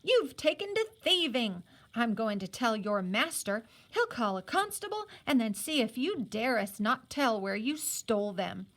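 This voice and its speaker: A woman reader plays an indignant colonial housewife in a storybook. She is loud, high and accusing, scolding in folksy old-fashioned words. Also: big pitch swings, unhurried, heavily acted. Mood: outraged scolding.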